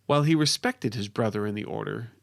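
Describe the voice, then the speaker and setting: A man narrating a scripted fantasy story as its author, in a deliberate, dramatic and expressive way. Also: fairly low pitch, gravelly, no echo, clean studio sound.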